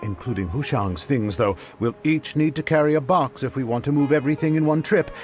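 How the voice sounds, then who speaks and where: A man's voice: mid-range voice, brisk, close to an everyday voice. A man voicing a good-natured, slightly grumbling porter in a video game, casual and down-to-earth. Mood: defensive, grumbling. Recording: background music.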